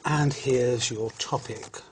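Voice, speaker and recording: A man reading out scripted questions as a language test examiner, formal and measured. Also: mid-range voice, steady tone, slight background noise, low-quality recording.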